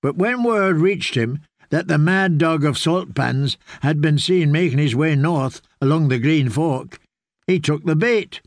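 A man voicing a kindly, courteous old man in rags who tends wounds, in a slow, soothing storybook reading. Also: fairly high pitch, dry recording, clean studio sound. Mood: grave. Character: kindly old ragged man, gentle healer.

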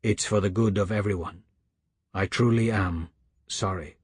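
A man voicing an older man in authority for an audiobook, soft and measured, accusing with cold menace. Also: lowish voice, husky, no room sound, clean studio sound, voice pushed down. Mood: regretful, menacing. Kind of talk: audiobook character dialogue.

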